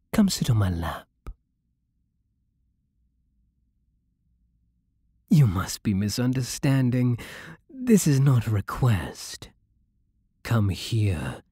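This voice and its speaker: A man plays a regal, possessive vampire king with a British accent in an ASMR roleplay. The voice is very breathy and close, in a dry recording. He speaks slowly, with haughty, seductive menace. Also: on the low side, dry recording, exaggerated voice. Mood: possessive, coaxing. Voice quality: breathy, soft. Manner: slow, menacing, haughty purring.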